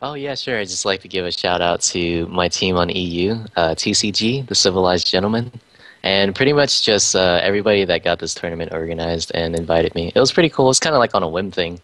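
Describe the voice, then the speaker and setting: A man's voice: sits low, fairly quick, fairly bright tone, no echo, low-quality recording. A man guesting on a game stream as a coach, chatting in a laid-back, jokey way. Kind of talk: casual interview chat.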